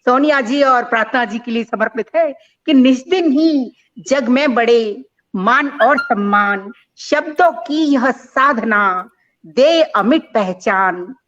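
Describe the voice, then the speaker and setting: Slow-speaking woman reciting blessing verses. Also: a little high, a bit bright, dull audio. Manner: measured, repeating each verse line. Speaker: program organizer.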